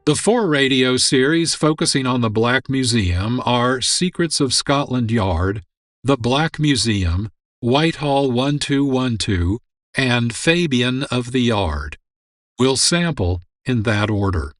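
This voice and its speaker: A man giving measured, scripted documentary-style narration as a program host. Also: mid-range voice, lively intonation, no room sound, studio quality. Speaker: producer and host.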